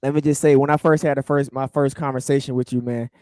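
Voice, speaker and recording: A man's voice: mid-range voice, steady tone, quick pace, mellow, smooth, no echo. A man hosting a casual interview, speaking in a friendly, loose way with lots of fillers. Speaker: interview host.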